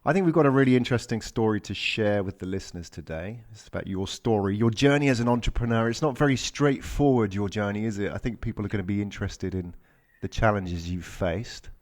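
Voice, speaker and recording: A man's voice: fairly low pitch, a little fast, a little mellow. Male podcast host, friendly interviewer, leading questions. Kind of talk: interviewing an entrepreneur.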